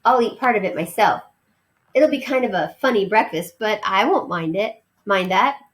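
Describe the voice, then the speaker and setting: A woman's voice: medium pitch, lively intonation, moderate pace, natural voice. A friendly young boy from a children's storybook, read by a woman. He is earnest and generous, happy to oblige but a little careful and ready to scold. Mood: obliging.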